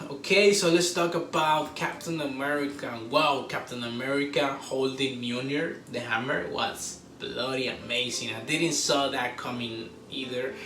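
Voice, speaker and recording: A man hosting a casual, unscripted movie review, talking like an excitable fan with drawn-out exclamations. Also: a little high, distant mic. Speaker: host of a movie review show. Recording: roomy echo, off-mic, faint background noise.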